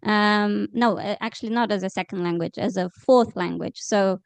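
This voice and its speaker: A woman, a Romanian speaker, speaks as a journalist on a panel in a measured, thoughtful way. Her voice is smooth and clear at a mid pitch. The recording is dry. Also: no echo. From Moldova. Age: 30s. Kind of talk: panel discussion.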